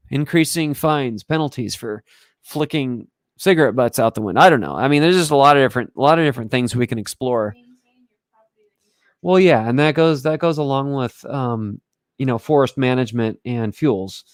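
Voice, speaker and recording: Hoarse man rambling on a livestream. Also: mid-range voice, no room sound, studio quality. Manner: rambling, halting, often clears throat. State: hoarse from breathing smoke. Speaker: livestream host. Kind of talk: casual livestream talk. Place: home in wildfire country.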